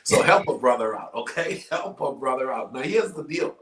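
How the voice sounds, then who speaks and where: A man's voice: medium pitch, expressive, moderate pace, a bit bright. A man hosting a talk show in an informal, exuberant way, folksy and emphatic, often repeating phrases for effect. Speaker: talk show host, life coach. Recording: roomy, echoey sound.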